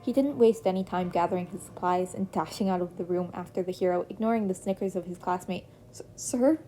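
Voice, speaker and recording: Woman reading fanfic out loud, mellow voice, soft music under it. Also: on the low side, quick pace, low-quality recording. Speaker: podfic reader. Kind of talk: reading fan fiction aloud.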